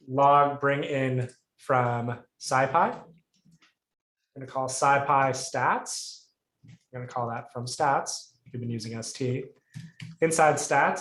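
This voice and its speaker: A man explaining code on a video call, his middle-pitched voice pausing and restarting as he works things out. The sound is roomy, with a clear echo around him. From Puerto Rico. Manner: thinks aloud, frequent restarts and fillers. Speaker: instructor. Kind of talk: live coding lesson review.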